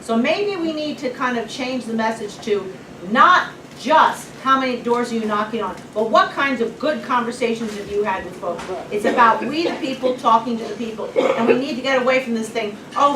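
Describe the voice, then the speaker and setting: A woman's voice: fairly high pitch, moderate pace, background noise. An outspoken woman in the audience making an emphatic point. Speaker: audience member. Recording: echoey room from far off.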